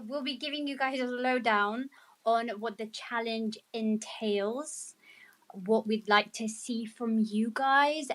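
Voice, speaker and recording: A woman's voice: a little high, a bit bright. A woman hosting a live online audio chat, bubbly, upbeat and chatty as she welcomes her speakers. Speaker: host. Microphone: remote audio stream.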